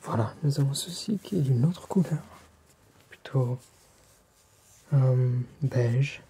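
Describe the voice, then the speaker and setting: Casting: male ASMR tailor, mellow half-whisper, unhurried and courteous, faint background noise. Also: medium pitch. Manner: polite, formal, attentive customer service. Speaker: ASMR roleplayer playing a tailor. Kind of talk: soft-spoken ASMR roleplay, half whispered.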